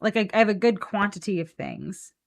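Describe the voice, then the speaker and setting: A woman chatting casually on a live game stream, playful and animated as she thinks out loud. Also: medium pitch, fairly quick, creaky. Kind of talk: casual live-stream chat while building a card deck.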